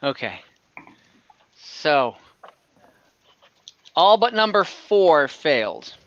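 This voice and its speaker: A man with a fairly high, bright voice runs a tabletop game online. He speaks casually and informally as the game master. The recording is close and dry, though a little lo-fi. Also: big pitch swings, extremely slow.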